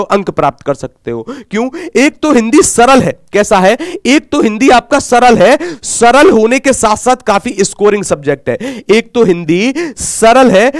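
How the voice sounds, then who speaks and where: A North Indian man lectures students as an exam coach in a high, clear voice. He drives points home by repeating them and posing short questions that he answers himself. The sound is dry and close. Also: dry recording. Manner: emphatic, repeats phrases and asks himself questions.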